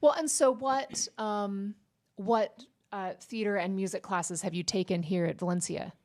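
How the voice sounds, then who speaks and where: A woman's voice: medium pitch, fairly even intonation, unhurried, a little mellow. A woman interviewing students, encouraging them and often restarting her questions.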